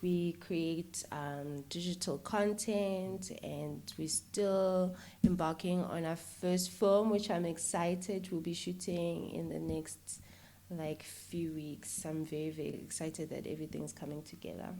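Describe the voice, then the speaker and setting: Low-voiced South African woman, chatting casually. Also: fairly even intonation, a little slow, warm tone. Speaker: interview guest, filmmaker and YouTuber. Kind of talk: casual conversational interview talk.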